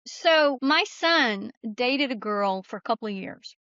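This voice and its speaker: A woman's voice: a little high, lively intonation, measured pace, pushed and bright, dry recording. A woman interview guest telling stories conversationally in an emphatic, folksy, plain-spoken way, on a slightly muffled recording. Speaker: guest author. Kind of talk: conversational storytelling.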